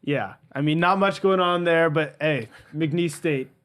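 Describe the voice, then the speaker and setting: A man's voice: on the high side, measured pace, fairly bright tone, clear, resonant tone. A young man co-hosting a casual betting podcast, making laid-back, jokey picks. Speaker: podcast co-host. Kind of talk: casual sports betting chat.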